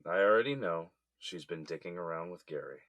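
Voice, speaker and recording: A man's voice: low voice, animated, fairly quick, fairly bright tone, dry recording. An actor playing a weary, blunt middle-aged businessman held in jail, in a naturalistic audio drama. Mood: bitter, blunt. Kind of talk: naturalistic scripted drama dialogue.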